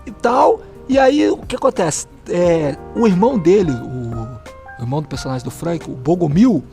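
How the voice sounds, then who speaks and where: A Brazilian man with a fairly high voice tells old game stories in a meandering, unhurried way. He often searches for his words and chuckles along the way, with faint music playing behind him in a stairwell. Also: expressive, gravelly, dark tone. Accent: Brazilian Portuguese. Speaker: guest, fellow tabletop player. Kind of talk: casual storytelling. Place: stairwell of an apartment building.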